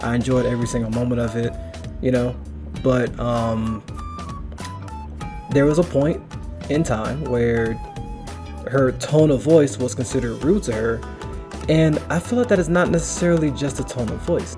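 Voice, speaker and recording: A man's voice: mid-range voice, normal speed, long pauses, over music. A man hosting a reaction video, talking casually and repeating himself, with lots of fillers.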